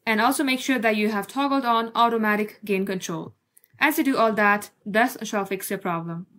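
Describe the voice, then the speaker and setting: A woman's voice: medium pitch, moderate pace, fairly bright tone, a little hiss or hum. A woman narrating a screen-recorded tech tutorial, giving plain step-by-step instructions.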